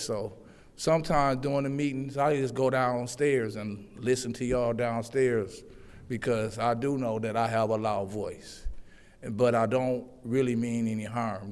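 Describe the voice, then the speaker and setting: Loud, earnest man, public commenter pleading with the council; smooth, resonant voice in a reverberant chamber. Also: mid-range voice. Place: echoey city council chambers. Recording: long hall reverb.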